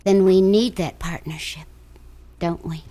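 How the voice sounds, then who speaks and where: An actress playing a devoted but firm wife in a radio drama, affectionate, insistent and persuasive. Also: female voice, fairly low pitch, steady tone, unhurried, natural voice. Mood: coaxing, insistent. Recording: noisy vintage radio drama recording.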